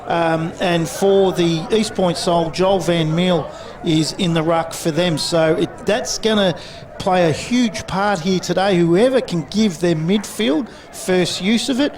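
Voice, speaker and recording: Brisk Australian man calling football. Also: high-pitched. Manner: brisk, fluent, reels off names and scores. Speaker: lead commentator. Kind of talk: sports commentary. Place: outdoor football ground. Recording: outdoor commentary with clear crowd.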